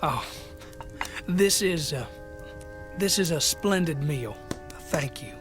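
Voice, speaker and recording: An actor playing a weary, polite army corporal in a radio drama, speaking haltingly and with deference. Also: male voice, a little high, animated, soft background music. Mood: grateful.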